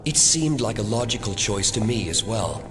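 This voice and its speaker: A man plays a calm, self-satisfied mastermind in a dramatic audio production. His wording is measured and formal, condescending throughout, with animated intonation. Music runs clearly behind him. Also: lowish voice, close to an everyday voice. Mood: smug, proud. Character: smug, calm man running a secret cloning facility.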